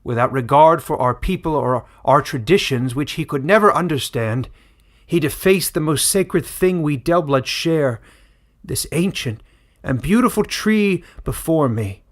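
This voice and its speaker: A man's voice: fairly high pitch, expressive, measured pace, voice pushed up. A man voicing a solemn, self-righteous old village elder who makes formal speeches in a fantasy story. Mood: accusing.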